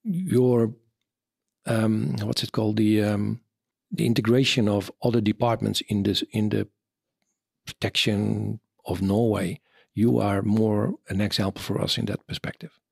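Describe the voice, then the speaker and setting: Gravelly Dutch-accented man, halting speech. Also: lowish voice, steady tone, darkish tone, no echo. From Netherlands. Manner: halting, searching for words, many fillers. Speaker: retired army officer, interviewee. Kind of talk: reflective interview answers.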